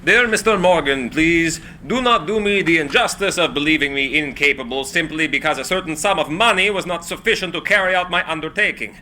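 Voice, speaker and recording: A man's voice: fairly high pitch, bright, forceful tone. A man playing a brilliant, eccentric, proud and desperate inventor in a theatrical, impassioned dramatic script reading. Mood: formal, insistent. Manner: theatrical, impassioned, halting when agitated. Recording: live room recording with faint background noise.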